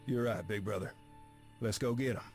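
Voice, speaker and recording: Man playing an earnest lowish-voiced western gunfighter. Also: normal speed, faint music behind, natural voice. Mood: resolved. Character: earnest, remorseful younger brother, gunfighter. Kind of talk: video game western cutscene acting.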